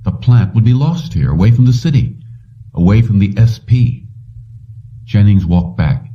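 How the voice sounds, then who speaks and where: Low-voiced man narrating, distant lo-fi recording. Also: animated, moderate pace. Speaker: audiobook narrator. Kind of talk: scripted narration of a short story. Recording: dull lo-fi, distant from mic.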